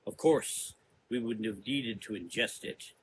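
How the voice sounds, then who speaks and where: Man playing a menacing, deliberate dark sorcerer. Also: lowish voice, a little hiss or hum, natural voice. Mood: smug, lecturing. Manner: deliberate, lecturing, drawn-out phrases. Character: menacing, cerebral male gothic sorcerer, taunting and sure of himself. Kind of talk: gothic audio drama acting.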